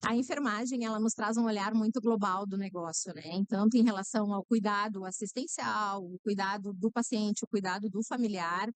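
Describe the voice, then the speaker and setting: A woman's voice: medium pitch, fairly even intonation, brisk, few pauses, clear, resonant tone, dry recording. A middle-aged woman who is a nurse and co-founder, answering interview questions in an earnest, explanatory way, often adding "né". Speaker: guest, nurse and company co-founder.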